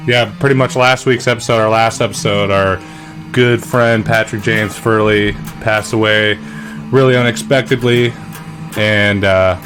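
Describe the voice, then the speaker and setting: A man's voice: fairly low pitch, steady tone, measured pace. A young man hosting a podcast with friends, talking in a laid-back way and swearing freely. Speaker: podcast host. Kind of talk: casual chat. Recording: music playing under the voice.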